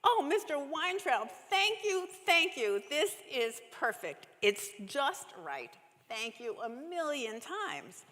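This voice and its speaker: A woman voicing an earnest, excitable young girl from a storybook, recorded in a reverberant church hall. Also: high-pitched, slow pace, hardly any pauses, pitched above the performer's own voice, full character voice. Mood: delighted.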